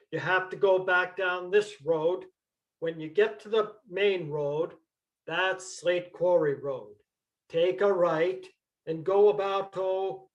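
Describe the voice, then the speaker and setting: A man playing a terse, deadpan rural Vermont syrup seller in a staged reading of a comic play, on a dull lo-fi recording. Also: high-pitched. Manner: terse, matter-of-fact, deadpan. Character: laconic, unhurried rural Vermont local selling maple syrup.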